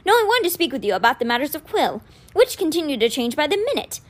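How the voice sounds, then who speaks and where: A woman voicing a kindly, wise old man in an audiobook, patient and measured, with a gentle gravity. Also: high-pitched, very animated, voice pushed up. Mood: courteous, earnest. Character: kindly, wise old male magician mentor, gently grave.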